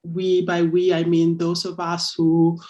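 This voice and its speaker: A woman in her thirties, a lawyer and advocate, giving a thoughtful public talk at a measured pace with a few fillers. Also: on the low side, completely flat delivery, mellow, lo-fi sound.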